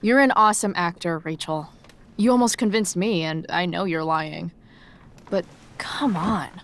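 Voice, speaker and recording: A woman's voice: lowish voice, a little slow, a bit bright. An actress playing a sarcastic, defiant teenage schoolgirl with a blunt, casual delivery in a video game drama. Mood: incredulous.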